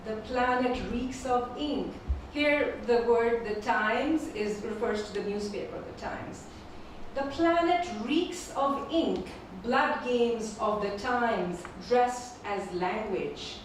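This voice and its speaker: A woman whose first language is Urdu, reading her poems slowly and repeating each first line at a live poetry event. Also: fairly high pitch, a little hiss or hum. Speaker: featured poet. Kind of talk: poetry reading with spoken introductions. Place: performing arts venue. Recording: echoey hall from far off.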